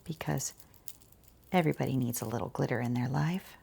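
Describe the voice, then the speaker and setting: A woman talking quietly in an ASMR shop roleplay, gentle and chatty, with a soft, breathy voice that often drops toward a whisper. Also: a bass voice, a little fast. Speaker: ASMR roleplayer as boutique shopkeeper and channel host.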